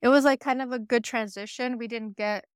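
A woman, telling her story in a loose, chatty way. Her voice is smooth and resonant, recorded dry and close to the mic. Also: on the high side, fairly even intonation, fairly quick, no room sound. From born in Croatia, raised in the United States. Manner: chatty, frequent "like" fillers and laughs. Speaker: podcast guest. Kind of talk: casual conversational storytelling.

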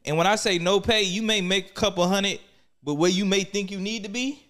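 A man's voice: high-pitched, brisk, fairly bright tone. An American man hosting a casual podcast, talking in a blunt, slangy, profane and encouraging way. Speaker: podcast host. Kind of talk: casual, slangy interview chat.

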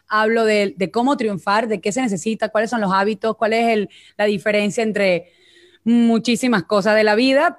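A woman vocal coach with a Venezuelan accent, explaining voice technique in a casual live chat and often checking in with "ok?". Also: medium pitch.

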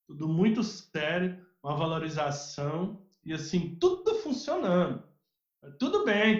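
A Brazilian man with a slightly high voice talks as a podcast guest, telling animated stories full of restarts. The sound is roomy and a bit dull, like lo-fi audio. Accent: Brazilian Portuguese. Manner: animated storyteller, many restarts, mimics others. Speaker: guest, music researcher. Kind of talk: casual podcast interview.

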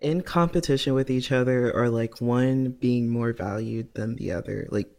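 This is a man at a typical male pitch, co-hosting a podcast. He talks through his ideas earnestly and loosely, with frequent "like" fillers and self-directed questions. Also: moderate pace, darkish tone. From United States. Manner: earnest, rambling, heavy "like" fillers, asks himself questions. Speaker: podcast co-host. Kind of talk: casual reflective chat.

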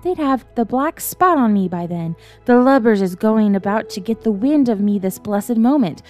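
A woman voicing an ailing old male sea captain who craves rum, pleading and cursing in rough seafaring speech as she reads a bedtime story. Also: on the high side, expressive, moderate pace, darkish tone, soft background music, close to an everyday voice. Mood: agitated. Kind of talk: storybook reading.

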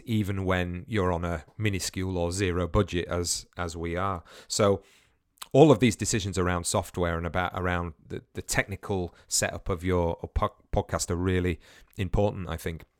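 A man's voice: deep voice, fairly even intonation, moderate pace, no room sound, clean studio sound. A man hosting a podcast, talking casually and rambling, with frequent restarts and repeated words. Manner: chatty, rambling with many restarts. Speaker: podcast host. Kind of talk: casual solo podcast talk. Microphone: remote-recording podcast setup with headphones.

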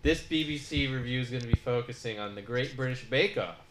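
A man's voice: medium pitch, fairly quick, a bit bright. Rambling man reviewing a show, echoey. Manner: rambling, full of "like" fillers. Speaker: podcast host.

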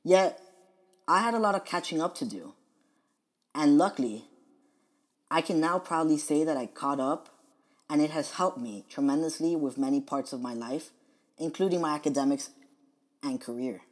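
A young adult man from the United States hosting a podcast, reading his scripted personal monologue in a measured, earnest way. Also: a little high. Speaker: podcast host.

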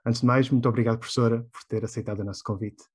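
A man's voice: medium pitch, steady tone, racing, a little mellow, husky. A young man with a European Portuguese accent hosting an interview, formal and polite, often hesitating. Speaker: podcast host.

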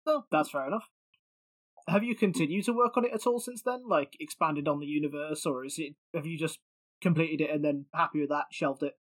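Higher-pitched male podcast interviewer. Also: a little fast, a bit bright. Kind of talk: conversational interview.

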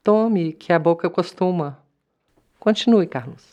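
A woman acting in a Brazilian radio drama as a composed, probing radio host, measured, with a Brazilian accent. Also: fairly low pitch, mellow, highs cut off, natural voice. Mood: cool, probing.

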